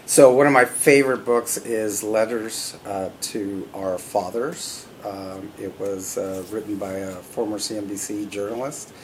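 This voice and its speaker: A middle-aged man from California, a company CEO telling stories in a relaxed, conversational way as an interview guest, often pausing with "uh" and "you know". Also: lowish voice, slight background noise. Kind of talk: casual interview storytelling.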